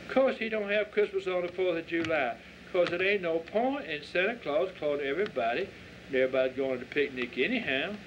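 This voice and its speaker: Man putting on a stubborn little Southern boy, higher-pitched. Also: expressive, a little fast, lightly acted. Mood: arguing eagerly. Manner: insistent, folksy, argumentative. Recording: dull lo-fi audio with faint noise.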